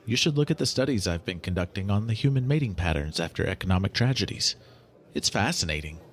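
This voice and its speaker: An actor playing a clinical, curious non-human researcher in an audio drama, formal and precise, fascinated by humans in a detached way. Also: male voice, low-pitched, lively intonation, fast. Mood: detached fascination.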